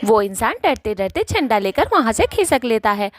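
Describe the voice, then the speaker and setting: A woman's voice: on the high side, very animated, moderate pace, slight background noise. A woman narrating a scripted cartoon story as its storyteller.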